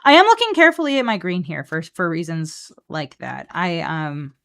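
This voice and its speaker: A woman chatting casually on a live game stream, playful and animated as she thinks out loud. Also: fairly low pitch, moderate pace, gravelly. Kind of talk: casual live-stream chat while building a card deck.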